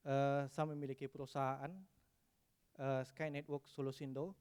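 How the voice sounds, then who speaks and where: A man from West Sumatra giving a technical conference presentation, pausing often with "eh" and dropping in English terms. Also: medium pitch, level tone, a little mellow, clean tone. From West Sumatra, Indonesia. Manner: hesitant, frequent "eh" fillers, mixes in English terms.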